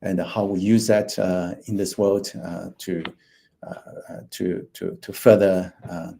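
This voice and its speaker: A man in his fifties, originally from Taiwan, speaks over a video call as a financial planner giving a presentation. His voice sits low and sounds soft and mellow. He hesitates often, with many fillers and restarts. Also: level tone, a little slow, gravelly, slight background noise. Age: 50s. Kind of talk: online presentation.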